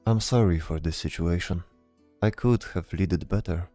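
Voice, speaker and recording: A man voicing a calm, considerate leading knight in a fantasy audio drama, speaking in a measured, commanding way. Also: low-pitched, soft background music, lightly acted. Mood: calm, reassuring.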